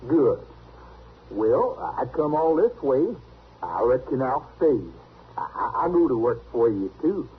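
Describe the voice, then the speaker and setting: An actor playing a naive, stubborn, good-natured backwoods drifter with a rustic Southern drawl, earnest and artless, in old radio western style. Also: male voice, medium pitch, very animated, measured pace, breathy edge, narrow, tinny audio, exaggerated voice. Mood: friendly. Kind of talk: 1950s radio western acting. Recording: band-limited, noisy old radio recording.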